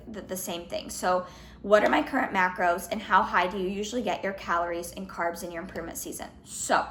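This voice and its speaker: A young woman in her twenties talks casually straight to the camera, slightly hoarse, filling her sentences with "like" and "um". Her voice sits at a middle pitch. The room sounds echoey, with a faint hum of ambience behind her. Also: fairly bright tone. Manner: chatty, lots of "like" and "um", restarts. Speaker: fitness vlogger. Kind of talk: casual Q&A vlog.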